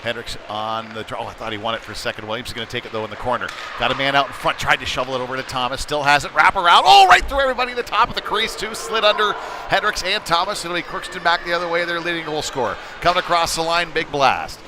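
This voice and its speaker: A man's voice: on the high side, animated, fast. American man calling hockey over rink noise. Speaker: hockey play-by-play commentator. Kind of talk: live sports commentary, casual and off-the-cuff. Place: indoor ice rink. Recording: noisy indoor ice rink.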